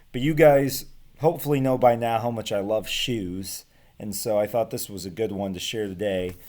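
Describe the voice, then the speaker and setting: An American man in his thirties teaching casually to camera, explaining things in plain, simple words with pauses. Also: mid-range voice, darkish tone. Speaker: teacher reading aloud to students. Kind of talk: casual read-aloud lesson to camera. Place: small room at home with bookshelves. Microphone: webcam or laptop microphone at desk distance.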